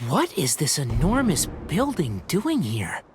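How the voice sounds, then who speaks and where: Polite young traveler, wary, voice goes up and down a lot. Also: male voice, a little high, huge pitch swings, measured pace, noisy background. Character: polite young traveler asking after a missing man. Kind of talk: video game cutscene voice acting.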